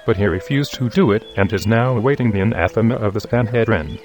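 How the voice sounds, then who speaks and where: An actor playing the disciple Andrew, recounting events in an earnest, measured way. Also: male voice, lowish voice, a little mellow, lightly acted. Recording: music score underneath.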